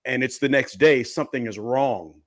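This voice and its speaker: A man of medium pitch speaks on a discussion panel, recorded dry and without room sound. He gives reflective advice conversationally, stacking up points emphatically and checking that listeners follow. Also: moderate pace, no room sound. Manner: emphatic listing, checks in with "right?".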